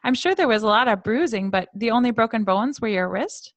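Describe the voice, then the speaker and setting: A woman's voice: on the high side, expressive, a little fast, no room sound. A woman hosting a casual conversational interview, responding to her guest in a supportive, encouraging way. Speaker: podcast host, interviewer.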